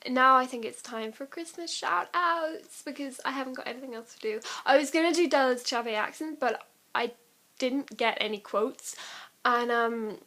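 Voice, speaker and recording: A woman's voice: high voice, normal speed, bright, forceful tone. A young woman chatting casually and ramblingly to camera as an amateur vlogger, with a giggly, self-deprecating, informal manner.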